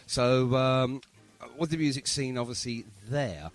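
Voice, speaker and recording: A man's voice: mid-range voice, measured pace. A man with a London accent hosting a casual podcast interview, bantering and rambling as he interjects. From London, England. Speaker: podcast host. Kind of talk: casual jokey interview chat.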